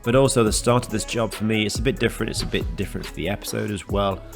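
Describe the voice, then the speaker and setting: Low-voiced guy narrating his detailing vid, stuffy nose from a cold, loud music under it. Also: fast, creaky. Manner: methodical, explanatory, lists steps one by one. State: nasal and congested from a cold. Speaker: car detailer and channel host. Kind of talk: narrated voice-over for a detailing video.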